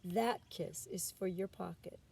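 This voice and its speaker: Woman as a tender, mellow mother raccoon. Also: lowish voice, steady tone, unhurried, vocal fry. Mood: soothing, loving. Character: gentle, loving mother raccoon, patient and reassuring. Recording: distant mic with background noise.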